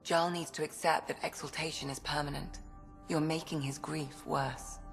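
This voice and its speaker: An actress playing a frank, clinical starship doctor in a video game, measured and matter-of-fact, in a reverberant space with music. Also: female voice, sits low, steady tone, vocal fry. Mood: firm, clinical. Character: frank, clinical female ship's doctor, fascinated by alien science. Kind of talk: video game cutscene acting. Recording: reverberant hall, music under voice.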